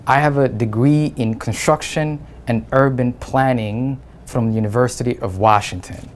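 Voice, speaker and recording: A man from Somalia who is running for city council, giving an earnest, steady campaign statement. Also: mid-range voice, moderate pace, a little hiss or hum.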